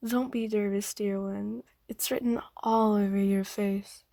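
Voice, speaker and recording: A doting aristocratic vampire mistress, played by a woman for an ASMR roleplay. She speaks softly, often in a half whisper, at a low-key, unhurried pace with very little rise and fall. Her tone is dark and velvety, gently formal and coaxing, recorded close and dry. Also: steady tone, vocal fry, no room sound. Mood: calm, coaxing. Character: kind, doting aristocratic female vampire owner.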